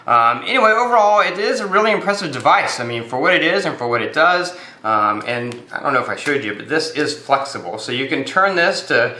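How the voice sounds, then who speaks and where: A man's voice: a little high, expressive, fairly quick, hardly any pauses, sharp tone, echoey room, a little hiss or hum. An American man giving a casual, unscripted product review, explaining things with hesitant phrasing and repeated words.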